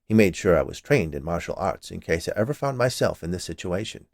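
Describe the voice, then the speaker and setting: A man's voice: low-pitched, very animated, a little fast, vocal fry, no room sound, studio quality, exaggerated voice. A man voicing a polite, wily little inspector in a storybook tale. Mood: coolly smug.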